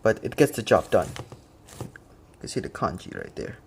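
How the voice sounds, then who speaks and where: A man's voice: fairly low pitch, very animated, moderate pace, creaky, slight background noise. A man casually explaining his custom figure work step by step, with occasional fillers. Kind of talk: casual product walkthrough.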